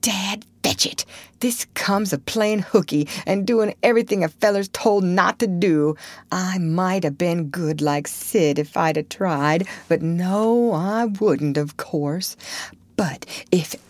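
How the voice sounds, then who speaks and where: A woman voicing a superstitious, excitable young country boy, bossy but easily scared. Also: on the low side, takes it slowly, no echo. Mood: remorseful. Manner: breathy urgent exclamations and hushed whispering.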